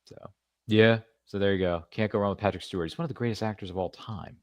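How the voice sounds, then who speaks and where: Fast-talking upbeat male podcast host. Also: fairly low pitch, fairly even intonation, no echo. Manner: upbeat, rambling, self-deprecating. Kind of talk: casual show wrap-up and plugs.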